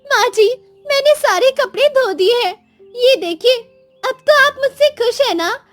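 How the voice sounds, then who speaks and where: A woman's voice: extremely high pitch, expressive, measured pace, no room sound, faint music behind, pitched above the performer's own voice. A woman voicing a meek, distressed young daughter-in-law in a cartoon moral story, with a plaintive, pleading manner. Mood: hopeful, pleading.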